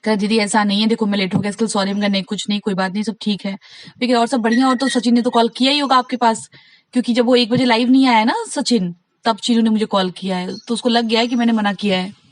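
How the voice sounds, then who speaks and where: Insistent woman, higher voice, casual phone chat. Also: level tone, fast, no echo. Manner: insistent, advising, recounts conversations by acting out quotes. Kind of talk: casual private phone conversation.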